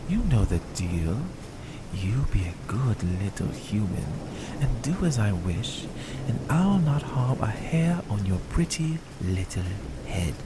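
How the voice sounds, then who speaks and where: A smug, predatory vampire, acted by a man in an ASMR roleplay. The voice is fairly low and crackles with fry, drawing words out slowly. He teases and coaxes his prey with hypnotic menace. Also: exaggerated voice. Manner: slow, teasing, menacingly coaxing, sometimes whispering.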